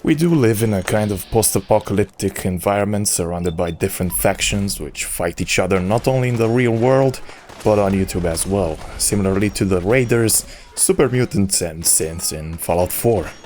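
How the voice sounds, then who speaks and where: A man's voice: on the low side, normal speed, darkish tone, a little hiss or hum. A man whose first language is not English, hosting a solo audio log and giving opinionated commentary in a ranting way. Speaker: YouTube channel host.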